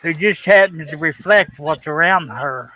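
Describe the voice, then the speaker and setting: A man's voice: on the high side, unhurried, bright, forceful tone, poor audio. A man co-hosting a live stream, reading the chat aloud in a folksy, teasing way.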